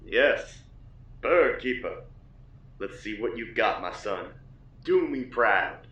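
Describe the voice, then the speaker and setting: A man playing a solemn superhero father in a recorded message, measured and instructive. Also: lowish voice, lively intonation, a bit bright. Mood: proud, challenging. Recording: dull, noisy video playback.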